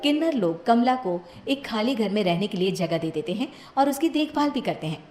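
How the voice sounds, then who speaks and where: A woman's voice: medium pitch, lively intonation, a little fast, a little mellow, echoey room, faint music behind. A woman reading a scripted cartoon moral story as its narrator.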